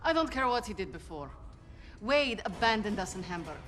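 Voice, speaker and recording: Hardened soldier girl, flat distrustful tone, echoey hall. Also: mid-range voice, normal speed, a little hiss or hum. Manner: cold, distrustful. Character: wary hardened female soldier.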